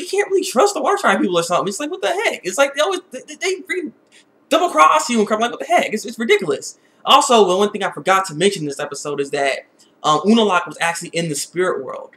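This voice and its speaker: Fast, high-pitched excitable man reviewing. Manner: excitable, rambling, repeating words. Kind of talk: casual opinionated episode review.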